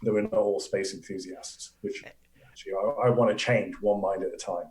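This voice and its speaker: A low-pitched man with an English accent talks in an eager, chatty way, often laughing and stumbling into restarts. His voice rings in a noticeably echoey room. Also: steady tone, a little fast, a little mellow. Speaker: guest astrophysicist and journalist. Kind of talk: casual live interview chat.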